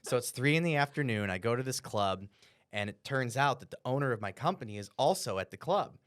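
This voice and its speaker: American male podcast co-host, mid-pitched, dry studio sound, loose and animated storyteller who swears freely. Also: brisk, dry recording. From Cleveland, Ohio, United States. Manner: animated, profane, bro-like slang. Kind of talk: casual storytelling.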